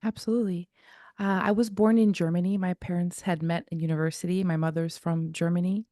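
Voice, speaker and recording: A woman in her thirties from Zimbabwe speaks with a slightly low voice, recorded close and dry with no room around her. She tells her story as a guest jazz singer in a reflective, unhurried way. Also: level tone, dark tone, no echo. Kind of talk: casual interview.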